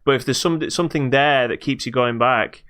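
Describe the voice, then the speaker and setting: A man chatting casually about video games as a podcast co-host, halting and repeating words as he thinks aloud. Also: mid-range voice, a bit bright, clean tone.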